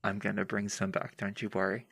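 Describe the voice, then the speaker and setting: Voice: sits low, level tone, a little fast, gravelly, fairly bright tone, slightly rough. A podcast host and game master reading listener questions aloud and chatting casually, in a conversational, playfully emphatic way.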